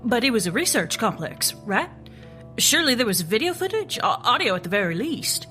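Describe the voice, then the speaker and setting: An actress playing a sharp, skeptical government agent in an audio drama, asking blunt, incredulous questions. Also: female voice, a little high, very animated, a little fast, soft background music. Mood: insistent, puzzled. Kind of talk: naturalistic audio drama acting.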